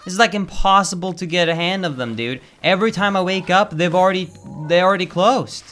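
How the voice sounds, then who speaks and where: A young Swedish man talks through his stream in a slightly high, bright voice. His delivery is casual and chatty, with loud flare-ups and swearing when the game lags. The Swedish accent is clear throughout. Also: a little fast, soft background music. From Sweden. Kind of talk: live-stream gameplay commentary.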